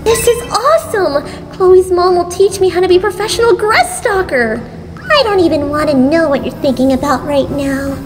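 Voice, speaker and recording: A woman's voice: extremely high pitch, moderate pace, noisy background. A woman voicing an earnest, awkward, scheming schoolgirl in a cartoon comic dub. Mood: eager, uneasy. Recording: long reverb, music behind.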